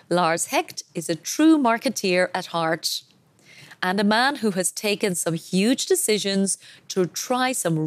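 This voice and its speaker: A woman's voice: mid-range voice, dry recording. A woman hosting a podcast, reading a polished, enthusiastic intro and then interviewing her guest. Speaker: podcast host.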